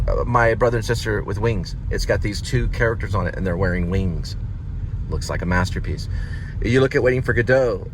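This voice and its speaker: Low-voiced man rambling over vehicle noise. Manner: conversational, checks in with "right?" and "you know". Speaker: vlogger, playwright and actor. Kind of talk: rambling informal monologue on theatre.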